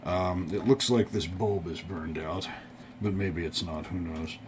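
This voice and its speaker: Guy with a lowish voice doing an unscripted show and tell, halting, kinda rambly, noisy background. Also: fairly even intonation, a little mellow. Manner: halting, rambling, thinking aloud. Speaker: hobbyist video presenter restoring vintage electronics. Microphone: off-camera mic while filming the object. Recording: clear background noise.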